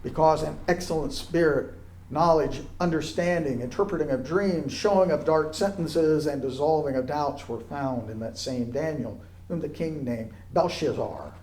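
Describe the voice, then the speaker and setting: Higher-voiced man reading scripture, echoey room. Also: normal speed, darkish tone, a little hiss or hum. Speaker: scripture reader. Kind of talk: solemn scripture reading aloud. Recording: echoey, roomy sound.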